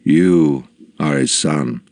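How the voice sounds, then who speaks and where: A man doing a soft, deep-voiced old leader. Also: drawn-out words, no room sound, clean studio sound, heavily acted. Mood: solemn. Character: old bearded male camp leader, soft and deep, solemn.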